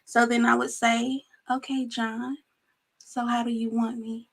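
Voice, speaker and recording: High, slow, gravelly-voiced woman. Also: little pitch movement. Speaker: guest. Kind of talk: casual chat.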